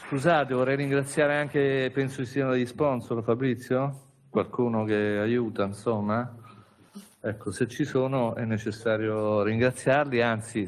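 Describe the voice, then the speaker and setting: A man's voice: lowish voice, a little hiss or hum. A man, a regional official, speaking off the cuff at a press conference, rambling with many restarts and asides. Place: large conference hall with an audience.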